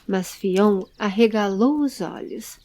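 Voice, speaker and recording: Uma mulher narrando uma história em áudio, lendo um texto roteirizado como contadora de histórias. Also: female voice, medium pitch, slow, warm tone. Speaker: storyteller, narrator. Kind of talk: scripted audio story narration.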